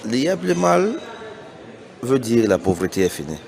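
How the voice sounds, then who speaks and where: A middle-aged man from Cameroon whose first language is Bassa, giving an earnest, persuasive spoken statement to reporters, hesitating and restarting often. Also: sing-song, a little slow, creaky, crowd noise. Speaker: business founder giving a press statement.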